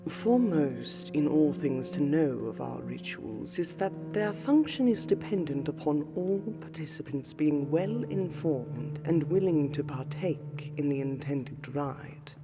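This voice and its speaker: Woman as a slow, solemn, low-lit vampire noblewoman in reverb. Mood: solemn, instructive. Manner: formal, deliberate, measured phrasing with weighty pauses. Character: aristocratic female vampire scholar, solemn, formal and courteous. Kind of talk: scripted fantasy roleplay audio monologue. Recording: long hall-like reverb under prominent music.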